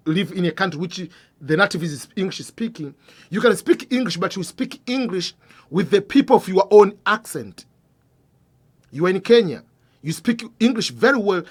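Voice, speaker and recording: A man hosting a scholarship advice channel, explaining in an earnest, informal way, repeating points and putting questions to the viewer, with his voice dropping low and quiet at the end of phrases. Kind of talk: informal explanatory talk to camera.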